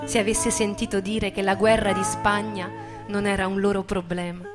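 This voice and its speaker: A woman narrating a literary stage piece with deliberate, expressive phrasing. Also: medium pitch. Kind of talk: dramatic literary narration for theatre. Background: guitar music.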